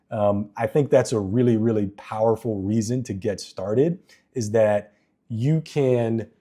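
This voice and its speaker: A man with a deep voice talking earnestly to camera in a casual vlog, with plenty of ums and restarts, recorded indoors on a wireless microphone. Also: dark tone.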